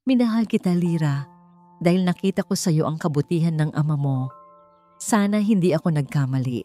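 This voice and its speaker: A woman's voice: low-pitched, lively intonation, brisk, warm tone, soft background music. A woman voicing a dignified young architect and devoted grandson in a story, solemn and earnest, with a Filipino accent. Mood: hurt disappointment.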